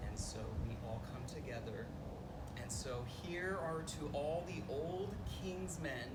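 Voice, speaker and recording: A man's voice: a little high, measured pace, very noisy. A man speaking as a yoga teacher, leading a guided meditation in a soothing, earnest way with flowery wording. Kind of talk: guided meditation and spiritual toast. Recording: echoey room, loud music behind. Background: music.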